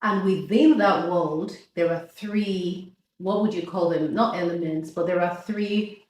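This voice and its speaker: A woman's voice: on the low side, unhurried, darkish tone. A woman in her fifties, hosting a podcast, talking about faith in a reflective way with frequent laughs and restarts. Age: 50s. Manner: reflective, laughs often, restarts mid-thought. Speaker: podcast host. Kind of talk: casual faith conversation. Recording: echoey room, distant from mic, faint noise.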